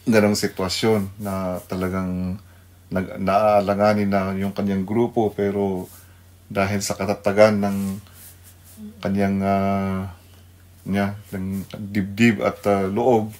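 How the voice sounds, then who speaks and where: A middle-aged man with a Filipino accent giving an unscripted devotional radio talk, pausing to search for words and mixing two languages. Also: low voice, flat delivery, darkish tone. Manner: halting, searching for words, mixes Tagalog and English. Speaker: radio devotional host, pastor.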